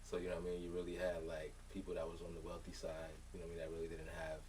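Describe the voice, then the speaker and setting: Deep, fast-talking man, slightly off-mic. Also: completely flat delivery, darkish tone, recorded from a distance. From born in Liberia, raised in Lowell, Massachusetts. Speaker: podcast guest, music artist. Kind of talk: casual slangy conversation. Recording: off-mic with faint room noise.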